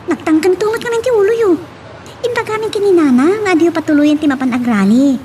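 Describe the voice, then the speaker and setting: A woman's voice: very high-pitched, fast talker, warm tone. A woman playing a caring, nagging young daughter in a radio-style drama. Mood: worried, scolding. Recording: faint background noise.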